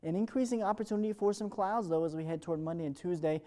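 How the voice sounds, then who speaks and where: A male television meteorologist delivers a morning forecast in upbeat, ad-libbed broadcast patter. He moves through it at a brisk clip. His tone is smooth-edged and mellow, without sharpness. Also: high-pitched. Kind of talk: live weather forecast presentation.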